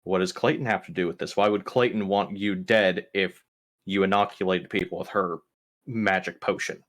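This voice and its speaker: Man playing a stern, lowish-voiced interrogating captain. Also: fairly even intonation, normal speed, a bit bright. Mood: demanding, incredulous. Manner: demanding, clipped questioning. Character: stern ship's captain interrogating his crew at gunpoint.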